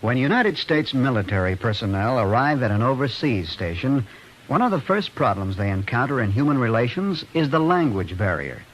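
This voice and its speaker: A male announcer with a mid-range, highly inflected voice. He reads a scripted vintage radio announcement with faint noise on the recording. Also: very animated, moderate pace. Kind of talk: scripted 1940s-50s radio public-service announcement.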